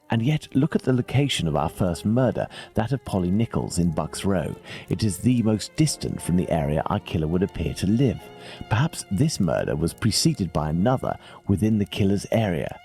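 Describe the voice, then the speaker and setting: A self-assured English gentleman detective in a video game. His voice is very low but moves with lively intonation, formal and deliberate as he reasons, with music underneath. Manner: deliberate, formal, deductive phrasing. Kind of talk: scripted video game acting.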